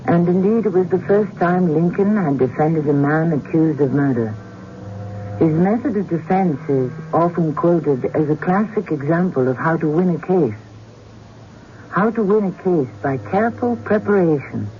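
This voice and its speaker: A male radio drama host delivers scripted narration in a formal, measured manner. His voice sits a bit high for a man, and the recording is dull with faint noise. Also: expressive.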